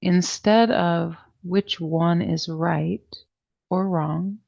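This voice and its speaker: A woman teaching a guided meditation class, speaking in a soothing, deliberate way with long pauses and a soft-edged, creaky voice. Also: on the low side, fairly even intonation, lo-fi sound. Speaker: yoga nidra meditation teacher.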